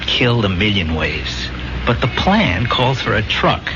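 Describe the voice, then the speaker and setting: Brash low-voiced hell-raiser, old radio drama. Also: male voice, very animated, unhurried. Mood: explaining, resolute. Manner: streetwise, glib, persuasive. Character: brash, hell-raising dead soldier pleading with his buddy. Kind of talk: 1970s radio drama acting. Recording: dull 1970s radio recording, music underneath.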